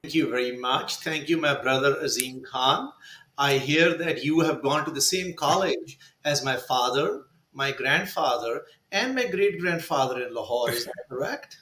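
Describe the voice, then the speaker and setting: A man speaking as a guest on a video call, measured and courteous. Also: medium pitch, bright, forceful tone, roomy sound. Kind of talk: conversational interview answers.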